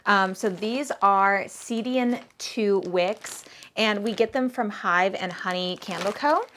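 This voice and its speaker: A woman's voice: medium pitch, slow, a bit bright, slight background noise. Woman, thirty, casual vlog chatter, rambling, filler words. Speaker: candle business vlogger. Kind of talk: casual chat to camera.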